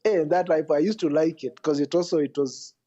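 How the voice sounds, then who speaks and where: Halting man on a dull mic. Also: a little high, fairly even intonation, dark tone. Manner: rambling, halting storytelling. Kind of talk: casual podcast chat.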